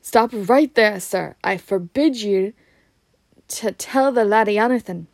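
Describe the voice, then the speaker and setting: A woman voicing a stern, panicky middle-aged uncle with a Scottish accent, sharp and commanding, in a storybook reading. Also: mid-range voice, measured pace, creaky, dry recording. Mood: panicked, commanding.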